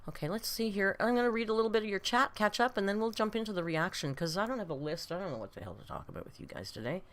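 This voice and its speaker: A woman's voice: medium pitch, expressive, fast. A woman in her late fifties hosting a casual live stream, chatting with viewers in a rambling, self-deprecating, blunt way with mild swearing. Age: late 50s. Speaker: host of a reaction live stream.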